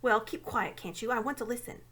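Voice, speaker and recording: A woman's voice: a little high, fairly quick, fairly bright tone. A woman voicing a grumpy, irritable young schoolboy in a storybook reading. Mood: sulky. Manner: snappish, irritable.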